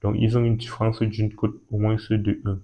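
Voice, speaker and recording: A man, talking through a screen-recorded walkthrough, repeating himself and pausing as he points things out. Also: on the low side, monotone, warm tone. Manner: repetitive and hesitant, points at things with "ici, là". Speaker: betting tutorial host.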